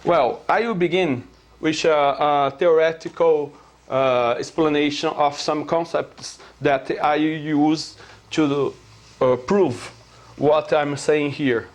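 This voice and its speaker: A man with a Brazilian Portuguese accent lectures in a slightly high voice, taking his time over every phrase. His English is earnest and halting, full of pauses and filler sounds as he searches for words. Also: steady tone. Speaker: self-taught theorist presenting his theory. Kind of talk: slow explanatory lecture.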